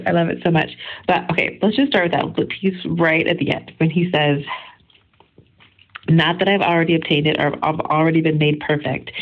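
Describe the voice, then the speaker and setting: A woman's voice: low voice, gravelly, phone-quality sound. A middle-aged woman giving an informal, earnest devotional reflection on scripture in a conversational, emphatic way.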